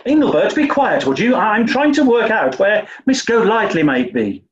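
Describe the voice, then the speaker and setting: An actor playing a dim-witted, snobbish English gentleman with an upper-class accent, flustered and hesitant, in a 1940s radio play style. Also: sits high, sing-song, moderate pace, muffled recording. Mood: puzzled, concerned.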